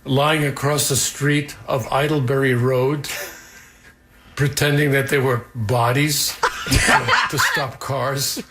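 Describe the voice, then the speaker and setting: An older man with a slight New York accent, talking informally as an interviewee in measured, rather formal wording. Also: medium pitch, sharp tone. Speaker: interviewee, father of the host. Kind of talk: informal recorded conversation.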